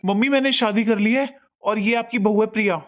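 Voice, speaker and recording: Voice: medium pitch, a little fast, soft background music, lightly acted. An actor voicing a newly married young son in a cartoon family drama, earnest and insistent, defiant yet pleading. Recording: dull, muffled lo-fi sound.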